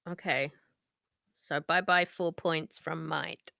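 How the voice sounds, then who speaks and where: A woman's voice: low voice, steady tone, slow, creaky, sharp tone, no room sound, studio quality. A woman playing a tabletop roleplaying game, giving brief, playful reactions in casual banter.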